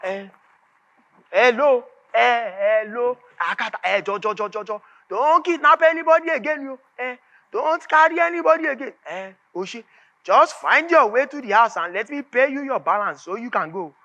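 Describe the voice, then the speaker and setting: A man acting on stage as an anxious, pleading character, speaking haltingly and repetitively with a Nigerian accent. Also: extremely high pitch, expressive, pushed and bright, exaggerated voice. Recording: dull lo-fi recording.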